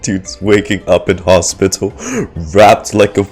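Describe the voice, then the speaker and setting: A man giving casual, slangy reaction commentary on a game as a streamer, expressive and sweary. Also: low voice, measured pace. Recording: game music behind the voice.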